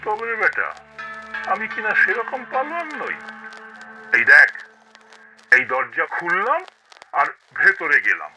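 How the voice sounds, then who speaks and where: A gullible, boastful cartoon tiger, who fancies himself king of the jungle. The voice sits rather high and leaps about wildly, pompous and easily flattered, with loud music behind it. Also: a little slow, pauses often, full character voice, low-quality recording. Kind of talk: cartoon voice acting.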